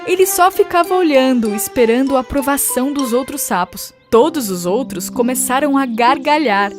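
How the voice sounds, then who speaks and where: A woman's voice: sits high, expressive, moderate pace. A woman with a Brazilian accent narrating a scripted story for children. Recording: music behind narration. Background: music.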